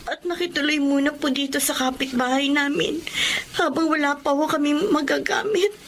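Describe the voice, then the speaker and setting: An actress with a Filipino accent playing a sad, gentle young student daughter in a radio drama. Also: female voice, high voice, normal speed, a little hiss or hum. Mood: subdued.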